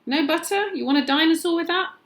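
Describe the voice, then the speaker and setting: A woman with a British accent voicing a pushy popcorn man calling out loudly in a children's storybook. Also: extremely high pitch, fast talker, voice pushed up. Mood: hawking. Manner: loud, calling out. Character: pushy, shouting male popcorn seller.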